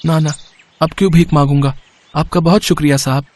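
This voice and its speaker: A man's voice: fairly high pitch, fairly even intonation, fairly quick, darkish tone, lightly acted. A man voicing a poor, humble young beggar, deferential and earnest, in a moral story. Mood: humble, grateful. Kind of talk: Hindi moral story voice acting.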